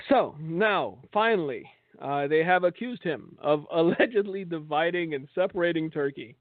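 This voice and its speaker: Male religious broadcast host, slightly high voice, sardonic monologue with pointed rhetorical questions, thin phone-like sound. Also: lively intonation, moderate pace. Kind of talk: political and religious monologue commentary. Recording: thin phone-like band, echoey room.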